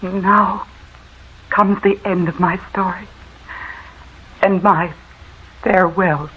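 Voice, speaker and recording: An actress playing a doomed, haunted wife in melodramatic radio horror, breathy and full of dramatic pauses. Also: female voice, fairly low pitch, steady tone. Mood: resigned, fateful. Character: doomed, haunted wife telling her terrifying tale by letter. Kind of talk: 1950s radio horror acting. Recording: muffled, noisy vintage radio recording.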